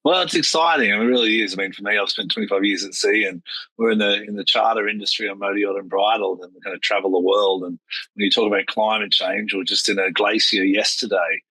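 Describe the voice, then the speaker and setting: Quick, husky-voiced middle-aged man. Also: fairly low pitch, sharp tone, no room sound, rough recording. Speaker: interviewer, yacht captain host. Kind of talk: casual interview chat.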